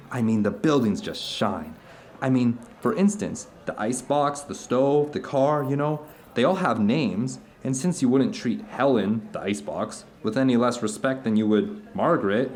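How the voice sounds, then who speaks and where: Guy acting as middle-aged man telling long earnest stories over dinner, audio drama, fervent and rambling, medium pitch. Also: a little mellow, lightly acted. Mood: earnest wonder.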